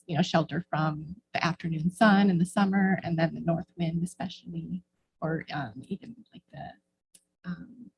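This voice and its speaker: A middle-aged woman giving informal remarks at a town meeting, halting and hedging as she searches for words. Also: fairly low pitch, gravelly, darkish tone, slight background noise, poor audio. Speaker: former board member giving public comments. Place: indoor local government meeting.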